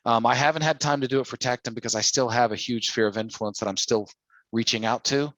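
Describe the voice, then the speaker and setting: A man's voice: mid-range voice, fast, fairly bright tone, dry recording, poor audio. A man hosting an informal sales training call, talking persuasively and using plenty of rhetorical questions. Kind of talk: informal sales coaching talk.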